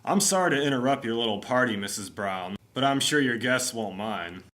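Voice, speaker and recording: A man playing a brisk, authoritative homicide sergeant with clipped, matter-of-fact delivery in a 1950s-style radio mystery. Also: medium pitch, lively intonation, fairly bright tone, natural voice. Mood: confident, knowing.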